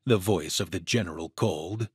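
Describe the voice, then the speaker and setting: A man narrating an adventure story in a dramatic, deliberate, suspenseful way. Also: low-pitched, breathy edge, no room sound, studio quality.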